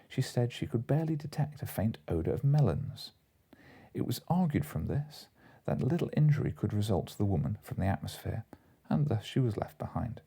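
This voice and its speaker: A man narrates classic fiction in the manner of an audiobook. His voice is low and very soft-edged and dark, with a husky grain. He reads a comic story from a script.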